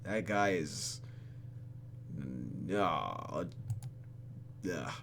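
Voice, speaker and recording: Animated, bright-voiced sarcastic man gaming. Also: low-pitched, drawn-out words, gravelly, a little hiss or hum. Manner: animated, sarcastic, exclaims and mutters. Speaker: YouTube gaming host. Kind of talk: let's play, reading game text aloud with joking asides.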